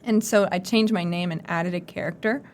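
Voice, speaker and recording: A young woman from the United States hosts a relaxed conversation. Her voice sits a little low, and she tells stories with lively energy, scattering "like" through her sentences. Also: a little fast, a little hiss or hum. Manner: lively storyteller, many "like" fillers. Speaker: podcast host. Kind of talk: casual chat.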